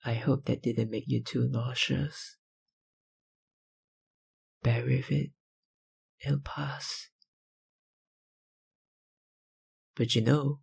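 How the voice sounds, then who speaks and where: An actor playing a possessive, powerful vampire kidnapper in an ASMR roleplay, breathy and husky, with a dominant, condescending manner. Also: male voice, medium pitch, fairly even intonation, takes it slowly, pauses often, full character voice. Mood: intimate, hungry. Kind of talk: ASMR roleplay, dramatic monologue.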